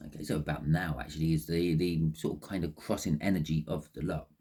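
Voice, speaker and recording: A man's voice: rumbling low, steady tone, fast talker, dark tone, breathy edge. A man with a phlegmy throat giving a casual, rambling tarot reading, often repeating words and restarting sentences. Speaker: tarot reader.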